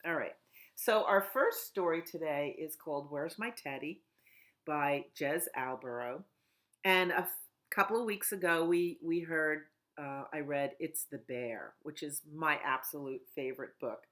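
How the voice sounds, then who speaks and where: Low-voiced woman reading to children. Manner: gentle, sing-song, talks slowly to children. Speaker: children's librarian. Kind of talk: storytime reading and chat for children. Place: at home.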